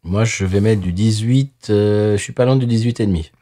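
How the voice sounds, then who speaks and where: A man's voice: on the low side, normal speed, mellow. A man co-hosting a podcast, chatting about a beer and turning to speak directly to the listeners. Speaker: podcast co-host. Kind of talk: casual beer-tasting chat.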